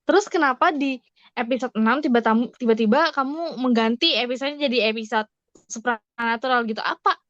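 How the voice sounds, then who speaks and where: A woman's voice: a little high, a little fast, fairly bright tone, no room sound, highs cut off. A woman in casual podcast conversation, putting questions and theories forward and switching into English phrases. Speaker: podcast co-host asking questions.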